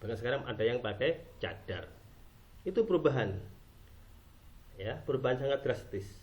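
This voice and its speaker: A man's voice: medium pitch, lively intonation, moderate pace, dull audio. A man from Java, giving an informal explanatory talk. He asks rhetorical questions and answers them himself. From Java, Indonesia. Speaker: speaker on Islam and culture.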